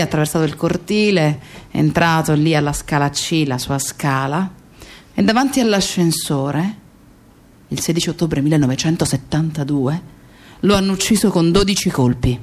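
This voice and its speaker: A woman's voice: low-pitched, lively intonation, moderate pace. An actress playing a grieving, defiant friend of a murdered man in radio theatre, with reflective, emphatic storytelling. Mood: wistful, grieving. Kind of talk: dramatic radio theatre monologue.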